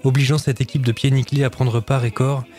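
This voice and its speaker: A man's voice: medium pitch, level tone, a little mellow, faint music behind. Chatty male radio co-host. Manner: quick, chatty, some fillers. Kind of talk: casual radio chat, reading a film synopsis.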